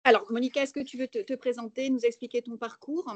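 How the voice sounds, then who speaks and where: A woman with a slightly high voice leads an interview, posing polite questions with small hesitations. The sound is dry and close, with no room echo. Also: fairly even intonation, quick pace. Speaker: moderator asking questions. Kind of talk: moderating a webinar interview.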